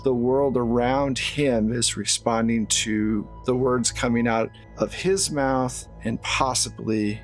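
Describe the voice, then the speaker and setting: A man's voice: mid-range voice, steady tone, takes it slowly, hardly any pauses. A man narrating a horror tabletop roleplaying game as the game master. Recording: music behind the voice.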